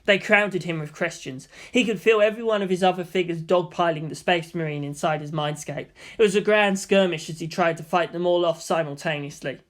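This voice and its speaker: Mid-pitched dramatic audiobook narrator. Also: brisk, few pauses, a bit bright. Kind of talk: dramatic scripted narration of fan fiction.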